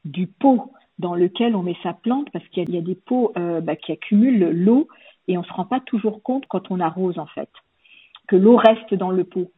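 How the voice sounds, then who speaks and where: A woman's voice: fairly low pitch, normal speed, slightly rough, no room sound. A woman hosting an interview, asking questions in a chatty, encouraging way. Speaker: host, interviewer. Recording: dry, phone-like narrow sound.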